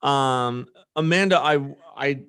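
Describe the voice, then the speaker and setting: American guy podcast host, medium pitch, chatting thru an earnest personal story, casual. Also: slow pace, a bit bright, clean tone. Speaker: former army platoon leader.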